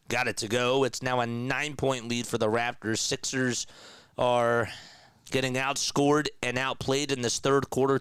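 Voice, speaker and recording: Raspy-throated male basketball play-by-play caller. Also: medium pitch, pushed and bright, dry recording. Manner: conversational, reads and answers chat comments. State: raspy and nasal from a sore throat. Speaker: play-by-play commentator. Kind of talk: live basketball play-by-play commentary.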